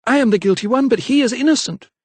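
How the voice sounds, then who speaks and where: A man's voice: high-pitched, animated, moderate pace, husky, dry recording, pitched above the performer's own voice. A man voicing a desperate young noblewoman who shields her son with frantic, pleading cries, in a dry, close audiobook reading.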